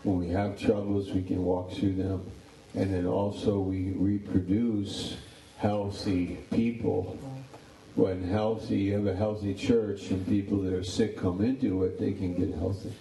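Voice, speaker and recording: A man's voice: deep voice, level tone, unhurried, mellow, reverberant, distant mic, rough recording. A pastor in his sixties chatting casually about his ministry, rambling and repeating words. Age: 60s. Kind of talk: casual interview chat.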